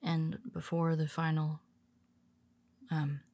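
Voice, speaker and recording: A woman voicing a cool, scheming female superhero who quietly confides in her nemesis in an audio roleplay, speaking softly and wryly, often in a whisper. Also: deep voice, slow, full character voice. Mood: confiding. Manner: calm, wry and confiding. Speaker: voice actor.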